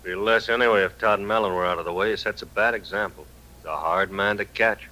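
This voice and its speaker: A plain-spoken deputy marshal in a vintage radio western. His voice is fairly low with a very hard, sharp edge. He talks in a clipped, steady way. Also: fairly quick, poor audio. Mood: grimly practical. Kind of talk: 1950s radio drama acting.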